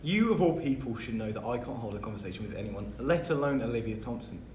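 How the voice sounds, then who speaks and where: A man's voice: medium pitch, animated, fast, darkish tone, lightly acted. Awkward nervous schoolboy, played by a man, roomy sound. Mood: irritated. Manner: hesitant, stammering, nervous. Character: awkward, insecure, defensive teenage schoolboy. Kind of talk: stage play table read. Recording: roomy distant mic, faint noise.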